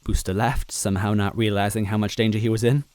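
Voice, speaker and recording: Low-voiced man reading a story aloud. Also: fast, dry recording, clean studio sound. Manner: expressive, adds asides to the listener. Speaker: narrator, story reader. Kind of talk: reading fan fiction aloud.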